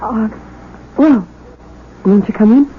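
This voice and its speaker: A woman's voice: on the high side, noisy background. Fragile young woman, muffled old radio. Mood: uneasy, polite. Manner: halting, nervous, plaintive. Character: fragile, anxious young bride doubting her own sanity. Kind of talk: 1970s radio drama acting.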